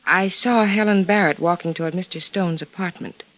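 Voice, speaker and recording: A man's voice: high voice, normal speed, fairly bright tone, dry recording. A man voicing a prim, nervous female secretary in a 1950s radio drama, speaking in a hesitant, careful way. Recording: dull old radio recording with hiss.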